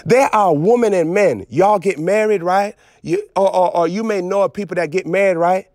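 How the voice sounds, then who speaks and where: Male preacher, high-pitched, emphatic and scolding, dry close-mic sound. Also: normal speed, a little mellow, dry recording. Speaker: prophet preacher. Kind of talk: live streamed sermon.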